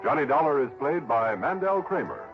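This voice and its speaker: A man's voice: medium pitch, big pitch swings, moderate pace, over music. A man working as a 1960s radio announcer, reading scripted copy. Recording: dull vintage radio broadcast recording.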